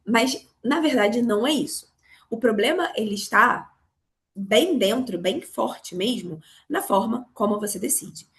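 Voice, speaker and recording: A Brazilian woman from Rio with a Carioca accent, hoarse from a cold, teaching a live online class on money, talking straight to her students. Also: fairly high pitch, expressive, a little slow. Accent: Carioca Brazilian Portuguese. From Rio de Janeiro, Brazil. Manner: explanatory, addresses students directly. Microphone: video call.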